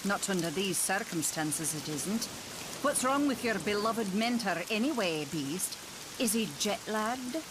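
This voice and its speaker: A woman's voice: medium pitch, lively intonation, measured pace, noisy background, natural voice. A woman playing a middle-aged doctor and ex-wife in a motion comic, sardonic and wry. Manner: sarcastic, wry. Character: sardonic, bitter Scottish doctor, mother and ex-wife.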